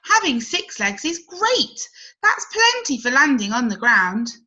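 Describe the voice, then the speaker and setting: Woman doing a high, perky, excitable grasshopper. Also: measured pace, dull audio, full character voice. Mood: excitable, encouraging. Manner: excitable, encouraging. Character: perky, reassuring grasshopper, proud of its six legs. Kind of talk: children's storybook read-aloud.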